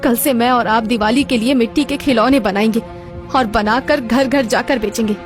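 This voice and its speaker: Woman acting a plucky, high-voiced potter's wife. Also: moderate pace, natural voice. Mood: indignant. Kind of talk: Hindi cartoon moral-story voice acting. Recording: background music throughout.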